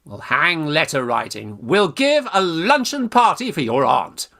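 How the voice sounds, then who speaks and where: Man as lovestruck young English student schemer for radio farce: bright, forceful, exclamatory, bossy, swooping in pitch. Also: sing-song, a little slow, gravelly, exaggerated voice. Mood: excited, scheming. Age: young adult. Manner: exclamatory, bossy, jolly old-fashioned slang. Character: brash, scheming young Victorian Oxford undergraduate in love. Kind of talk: theatrical radio farce acting.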